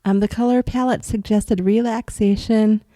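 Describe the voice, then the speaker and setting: A woman from upstate New York with a cold, casually telling viewers about an experience in a rambling way, with ums and soft laughs. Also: mid-range voice, fairly even intonation, normal speed, dry recording. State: has a cold. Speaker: vlogger recounting astral travel.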